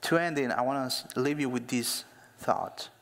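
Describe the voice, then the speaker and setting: A man in his thirties preaching a sermon as a pastor, speaking as an in a slow, emphatic way that builds to louder calls to action. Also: medium pitch. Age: 30s. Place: church hall. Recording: long hall reverb.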